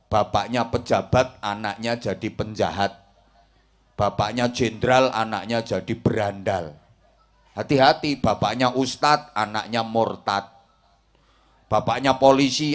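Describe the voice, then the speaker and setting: A man with a Javanese accent giving a humorous Islamic sermon in a folksy, rhetorical way, often checking that his listeners follow. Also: fairly low pitch. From Java, Indonesia. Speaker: Islamic preacher giving a talk to a congregation.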